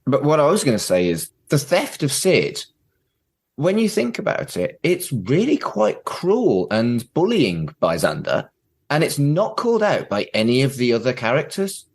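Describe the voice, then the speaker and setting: A man's voice: mid-range voice, huge pitch swings, unhurried, slightly rough. A man, chatting casually as a podcast co-host. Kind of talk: casual chat about a TV show.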